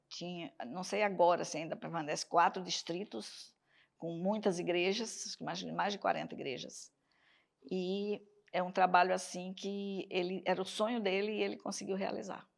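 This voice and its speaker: An older woman with a Northeastern Brazilian accent, reminiscing in an oral history interview with pauses and restarts. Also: medium pitch, gravelly.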